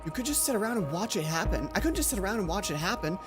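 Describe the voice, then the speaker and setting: A man's voice: high-pitched, very animated, racing, music in the background. A man voicing a stubborn, emotional, sleep-deprived schoolgirl from a visual novel, pleading and indignant. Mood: upset, exasperated.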